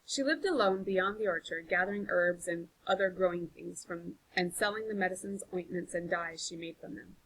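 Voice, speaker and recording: Female storybook narrator, teacherly and expressive, forceful tone, slightly raspy. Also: fairly low pitch, normal speed, few pauses, rough recording. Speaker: teacher reading aloud.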